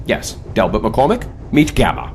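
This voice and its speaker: An actor playing a suave, mysterious airship commander, formal and dryly teasing, in an audio drama. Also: male voice, fairly low pitch, wildly swooping pitch, moderate pace, vocal fry, faint music behind, exaggerated voice. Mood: wry, teasing.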